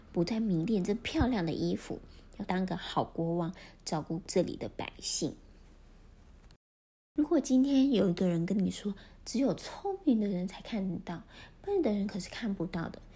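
A woman narrating a fairy tale for children in a gentle, expressive storytelling manner. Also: fairly low pitch, slightly rough.

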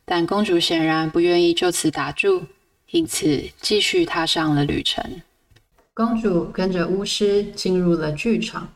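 A woman hosting a podcast, reading a scripted book review in a measured, reflective storytelling way. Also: lowish voice, no echo, recorded from a distance, rough recording. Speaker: podcast host.

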